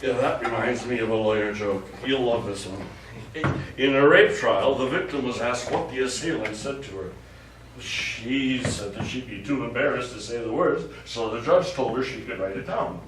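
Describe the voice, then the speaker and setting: A man on stage plays a self-satisfied, lecherous lawyer, theatrical and leering. His middle-pitched voice comes from a distance in a very echoey hall, with faint noise behind it. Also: normal speed, natural voice. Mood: tipsy joviality. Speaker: stage actor. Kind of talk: live comic one-act stage play acting. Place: small theatre studio stage.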